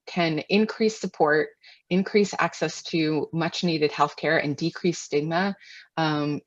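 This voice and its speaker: An American woman answering webinar questions as a guest expert, in a measured, earnest, explanatory way. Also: low-pitched. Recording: muffled lo-fi webinar audio, roomy echo.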